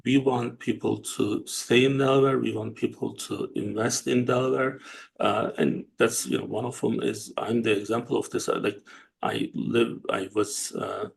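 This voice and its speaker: A man from Turkey, hosting an interview in a casual, halting way with many fillers and restarts. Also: mid-range voice, steady tone, vocal fry, a little hiss or hum. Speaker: host and company CEO.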